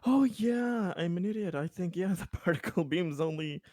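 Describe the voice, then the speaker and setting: A man's voice: sits high, animated, normal speed, darkish tone, no room sound. A man chatting casually as a player in a tabletop roleplaying game, describing what his character does.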